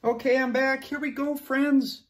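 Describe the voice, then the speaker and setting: A young man teaching preschoolers online at a whiteboard, talking slowly and encouragingly in a sing-song way, moving toward and away from the camera. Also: very high voice, little pitch movement. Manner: slow, sing-song, encouraging teacher talk to small children. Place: classroom at a whiteboard. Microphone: camera close up, moving away to write.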